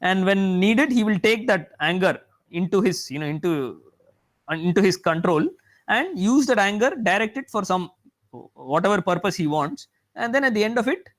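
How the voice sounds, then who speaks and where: High-voiced man, rambling lecture. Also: animated, normal speed. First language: Tamil. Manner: rambling, filled with "you know" and restarts. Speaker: mathematics professor giving a talk. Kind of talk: lecture-style talk.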